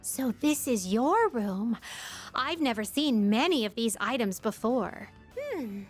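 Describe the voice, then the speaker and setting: Otherworldly young lady, poised, curious, formal, higher pitch, video game, faint music. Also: lively intonation. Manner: elegant, formal, deliberate wording.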